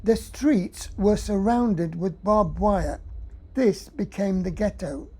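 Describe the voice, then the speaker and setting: A woman with a husky voice giving a quiet, grave first-person reading of a testimony. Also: medium pitch, slow. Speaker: reader of a survivor's testimony. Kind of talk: read testimony.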